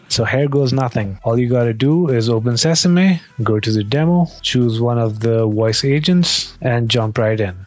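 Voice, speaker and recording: A man hosting a video, casually presenting and putting questions. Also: mid-range voice. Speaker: video host testing an AI with questions.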